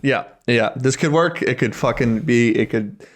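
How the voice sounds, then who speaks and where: Casual, mid-pitched man co-hosting. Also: moderate pace. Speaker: podcast co-host. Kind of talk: casual chat.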